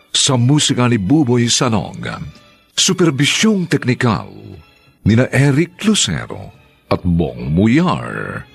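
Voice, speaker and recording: A man announces a radio drama in a formal, scripted style. His voice is low and husky, with extremely animated intonation and stately, dramatic phrasing. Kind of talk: formal scripted closing credits narration.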